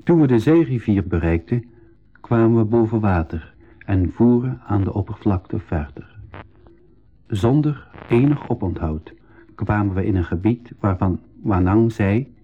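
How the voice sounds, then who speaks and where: An actor playing a young traveller who tells his own adventure in measured radio drama narration. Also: fairly low pitch, expressive, phone-quality sound, a little hiss or hum. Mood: calm narration. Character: young male traveller recounting his adventure, admiring, timid. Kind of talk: scripted first-person radio drama narration.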